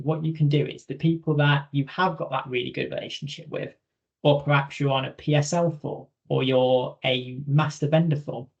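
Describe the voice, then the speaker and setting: Guy doing a webinar, kinda high voice with lots of fry, chatty and direct, bit muffled audio. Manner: informal, direct, addresses listeners, emphatic repetition. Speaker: webinar presenter, recruitment software trainer. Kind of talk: conversational webinar presentation.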